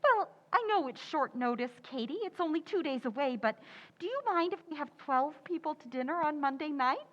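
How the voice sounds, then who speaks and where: A woman playing a sarcastic, excitable housewife in old-time radio comedy, with a wry, exaggerated delivery. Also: high voice, brisk, muffled recording. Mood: anxious.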